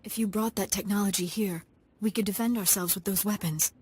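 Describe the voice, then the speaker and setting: The earnest young daughter of an alien matriarch, voiced by a woman. Her voice is husky and mid-pitched. She speaks politely and with quiet resolve. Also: steady tone, a little fast, natural voice. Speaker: leader's daughter.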